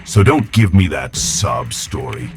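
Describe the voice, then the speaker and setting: A man's voice: low-pitched, very animated, measured pace, creaky, over music, heavily acted. A man playing a hard, impatient pirate starship captain in an audio drama, curt, snarling and domineering. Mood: cold anger.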